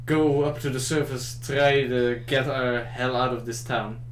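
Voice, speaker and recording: Man, roleplaying game player, casual in-character banter, average pitch, echoey room, audible hum. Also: fairly even intonation, unhurried. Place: indoor room, group game livestream.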